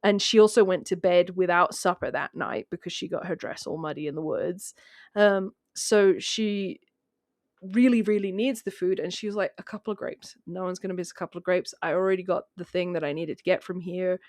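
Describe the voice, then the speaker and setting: A woman talking casually as a guest in a film discussion, telling it in an animated way and acting out imagined remarks. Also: fairly low pitch, brisk, dry recording, studio quality.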